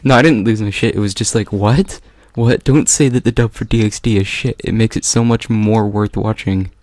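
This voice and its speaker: A man's voice: on the low side, steady tone, moderate pace, darkish tone, dry recording. A young man chatting casually as a podcast co-host, joking and swearing freely. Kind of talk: casual group chat.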